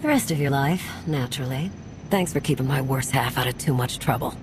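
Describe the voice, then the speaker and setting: An actress playing a no-nonsense smuggler in a game cutscene, teasing her partner in a deadpan way. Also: very deep voice, brisk, noisy background. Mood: wry, unimpressed. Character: dry, no-nonsense female smuggler, the rogue's partner. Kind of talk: video game cutscene acting.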